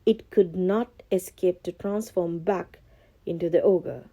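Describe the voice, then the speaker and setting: Female storybook narrator, very soft warm tone, unhurried, expressive, careful phrasing, children's story time. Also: fairly low pitch.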